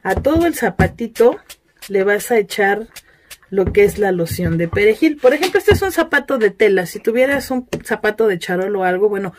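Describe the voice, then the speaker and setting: A Mexican woman chats her way through a spell tutorial, rattling off lists of promises. Her voice sits at an ordinary middle pitch, recorded close in a small room. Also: big pitch swings, normal speed, slight background noise. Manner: chatty, list-like, selling her services. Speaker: self-styled witch giving spell tutorials. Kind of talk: casual spoken tutorial and self-promotion. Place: indoors at a cluttered altar table.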